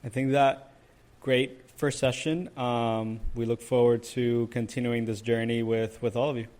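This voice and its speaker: A young man, born and raised in Puerto Rico with Spanish as his first language, co-hosts a podcast as a therapist. His voice sits at a middling pitch for a man, and he speaks casually, pausing on "um" and "uh" and often restarting his words. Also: little pitch movement, a little mellow. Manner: hesitant, many "um" and "uh", repeats words. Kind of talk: casual chat.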